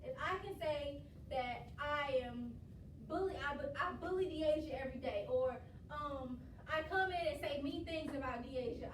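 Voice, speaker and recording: A woman teacher with a high voice, talking a lot and laughing often as she leads a classroom lesson. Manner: talkative, quick, laughs often. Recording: echoey classroom from far off, noisy.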